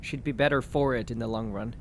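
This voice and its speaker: A man's voice: medium pitch, fairly even intonation, fairly quick, a little hiss or hum, close to an everyday voice. A man voicing a blunt, cynical rebel who needles a scheming aide in an audio drama. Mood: sardonic. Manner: blunt, sardonic.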